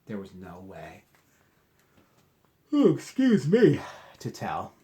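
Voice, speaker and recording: A man doing an expressive read-aloud for children, chatting to his young listeners in asides and asking them questions directly. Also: on the low side, slow pace. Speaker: children's storybook reader, teacher.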